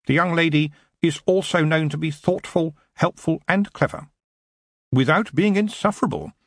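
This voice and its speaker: Man voicing a prim, deferential male clerk, lifted pitch. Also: moderate pace, no room sound, studio quality, lightly acted. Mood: earnest, deferential. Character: deferential fussy male clerk, primly precise.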